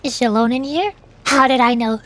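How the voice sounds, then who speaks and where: A woman's voice: on the high side, a little fast, fairly bright tone. A woman chatting casually as she plays a game on stream, a VTuber talking to viewers.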